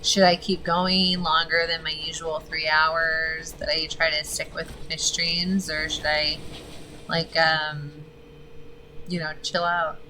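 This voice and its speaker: A woman streaming a game, chatting casually with viewers and reading game text aloud; her voice is gravelly and she swears freely. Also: sits low, level tone, slow pace, bright, forceful tone, a little hiss or hum, rough recording. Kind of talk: casual gaming stream commentary.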